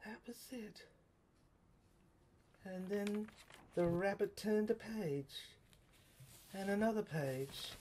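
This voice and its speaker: A woman narrating an audio play as dramatic first-person storytelling, halting and theatrical, often drifting into whispers. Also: medium pitch, mellow, husky.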